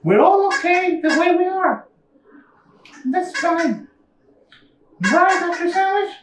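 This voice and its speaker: A woman voicing a young, squeaky monster puppet telling stories in a simple, childlike way at a live puppet show. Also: animated, slow, slight background noise, exaggerated voice. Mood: friendly. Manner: childlike, simple storytelling to an audience. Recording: echoey room, slightly distant mic.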